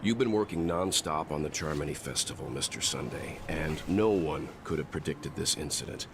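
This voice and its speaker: An actor playing a deferential attendant who speaks in a formal, reassuring manner. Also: male voice, a bass voice, normal speed, background noise. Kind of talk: game voice acting.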